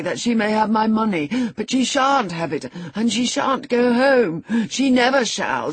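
A woman's voice: mid-range voice, slightly rough, no room sound, pitched above the performer's own voice. A woman voicing a sickly, selfish, spoiled young man who whines petulantly, in an audiobook. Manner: petulant, whining.

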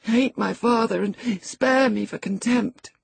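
A woman narrator acts out a frail, cowardly teenage boy from a classic novel. The voice is high and very breathy, halting and anguished, forever pleading and pitying itself, in a close, dry recording. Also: no room sound, full character voice. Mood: wretched, pleading. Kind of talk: audiobook character acting.

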